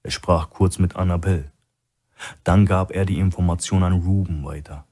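A man with a husky voice reading scripted thriller narration as an audiobook narrator. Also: deep voice, steady tone, moderate pace, dark tone.

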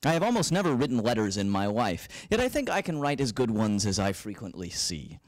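An earnest, wry nineteenth-century man writing to a friend, read by a young man on stage. His delivery is theatrical and deliberate, with big swings in pitch, heard close and dry on a handheld mic. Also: very animated, dry recording. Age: young adult. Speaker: dramatic reader. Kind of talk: dramatic reading of a 19th-century letter. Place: small library meeting room with audience. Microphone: handheld close to mouth.

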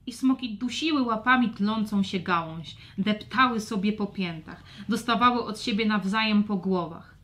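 A woman's voice: medium pitch, normal speed, hardly any pauses, a bit bright, a little hiss or hum. A woman narrating a scripted children's story expressively.

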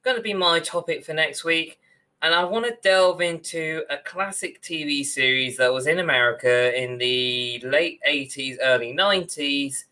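A man's voice: a little high, pushed and bright. A young man, co-hosting a podcast in casual discussion over a video call. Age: young adult. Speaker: podcast co-host. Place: indoor room on a video call.

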